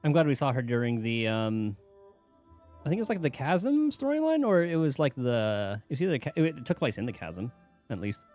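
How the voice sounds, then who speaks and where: A man giving casual live commentary as he streams a game, thinking aloud in a halting way. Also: mid-range voice, sing-song, a little mellow, soft background music.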